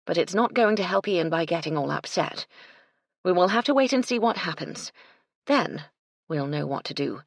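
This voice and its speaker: A woman's voice: lowish voice, expressive, moderate pace, creaky, no room sound. A woman voicing a composed, kindly but firm English lady in charge in a story. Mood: firm, reassuring.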